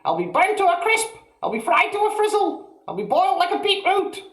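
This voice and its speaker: A shrill, nasty old grandmother, as a male storyteller puts her on, screeching orders in a voice pushed far above his own. She is bossy and cantankerous. The reading sounds roomy and echoey. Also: sing-song, moderate pace, pushed and bright, exaggerated voice. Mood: screaming. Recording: roomy echo.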